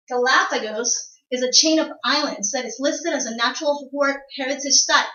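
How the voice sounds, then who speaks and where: A young woman gives a prepared class presentation and speaks straight to her listeners. Her voice sits high. It is heard from a distance in an echoey room, with a dull lo-fi sound. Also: bright, forceful tone. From Ecuador. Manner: prepared, explanatory, addresses the audience directly. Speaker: student speaker. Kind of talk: classroom informative speech.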